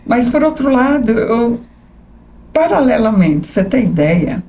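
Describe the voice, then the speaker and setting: A woman in her sixties with a São Paulo accent, a journalist telling her life story as a podcast guest, animated and often asking "né?". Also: on the high side, unhurried. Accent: São Paulo capital Portuguese. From São Paulo state. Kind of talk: conversational interview storytelling.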